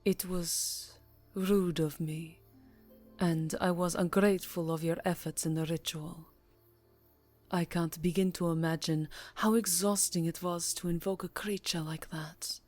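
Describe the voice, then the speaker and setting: A woman voicing an elegant, imperious yet tenderly seductive vampire countess in an intimate ASMR roleplay, speaking formally and softly, breathy and close to the mic, drifting into whispers. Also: fairly low pitch, steady tone, measured pace, soft background music. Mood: apologetic, tender. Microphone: close microphone.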